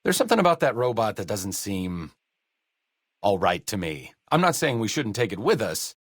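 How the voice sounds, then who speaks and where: A bookish doctor companion from a video game. He speaks on the low side with dry, self-deprecating wit and sounds educated and sardonic. The recording is close and dry. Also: sing-song, normal speed, no room sound. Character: wry, bookish adult male doctor companion, dryly sardonic.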